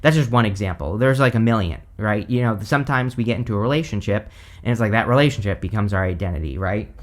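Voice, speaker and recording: A man giving a casual tarot reading, hoarse because he is losing his voice, rambling with lots of "like" and "you know". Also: lowish voice, expressive, quick pace. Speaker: tarot reader.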